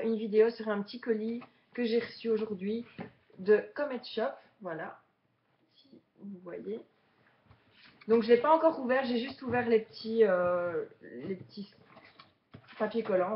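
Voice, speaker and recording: A woman's voice: mid-range voice, highs cut off, slight background noise. A woman of twenty-seven from Belgium, chatting casually to viewers as a beginner vlogger during an unboxing. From Belgium, Charleroi region.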